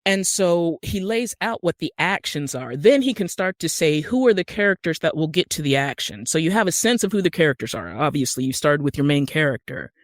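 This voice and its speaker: A man's voice: on the high side, animated, fairly quick, few pauses, no echo, clean studio sound. An American man from Utah, a podcast guest who works as an actor and screenwriter, explaining things conversationally. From Utah, USA.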